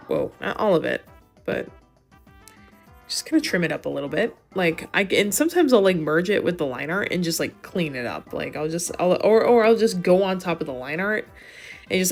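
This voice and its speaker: A young woman with a scratchy, hoarse voice rambling casually as she draws, swearing and muttering to herself. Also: lowish voice. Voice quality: scratchy, vocal fry. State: voice giving out, hoarse. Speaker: art vlogger. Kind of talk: rambling casual commentary while drawing.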